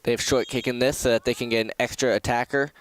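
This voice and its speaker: A man giving color commentary with quick analysis during a college soccer match at an outdoor stadium. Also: medium pitch, steady tone. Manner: offers quick analysis and banter alongside the play-by-play.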